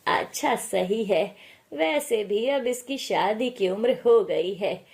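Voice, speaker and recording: A woman voicing an easygoing middle-aged father in a story, measured, agreeable and practical. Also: medium pitch, lively intonation, vocal fry, darkish tone. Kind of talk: acted storytelling dialogue.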